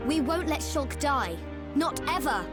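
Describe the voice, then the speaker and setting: A loyal young heroine in a video game. She is spirited, with a fairly high pitch. Music is clearly heard behind her. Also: expressive, a little slow. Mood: determined. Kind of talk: video game cutscene acting.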